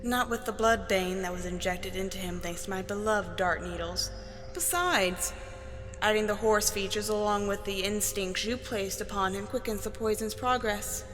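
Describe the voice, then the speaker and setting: A woman's voice: medium pitch, normal speed, a bit bright, long reverb tail, soft background music, natural voice. A woman voicing a sly, scheming female poisoner who speaks in a smug, gloating way.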